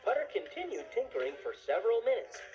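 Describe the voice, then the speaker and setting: Expressive, animated woman storyteller. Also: fairly high pitch, fast talker, faint music behind. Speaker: storybook narrator. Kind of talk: scripted children's story narration.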